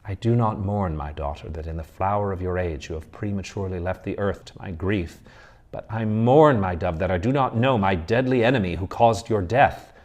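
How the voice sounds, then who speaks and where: A man's voice: low-pitched, normal speed. A man doing a stern grieving old colonel. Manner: forceful, emphatic declarations.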